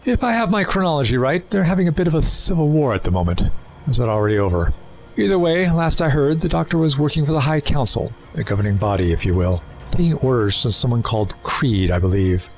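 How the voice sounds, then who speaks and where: An actor in an audio drama playing a suave, erudite renegade Time Lord villain, wordy, condescending and persuasive. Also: male voice, medium pitch, lively intonation, fast talker, darkish tone, a little hiss or hum, natural voice. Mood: persuasive, scornful. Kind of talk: full-cast audio drama acting.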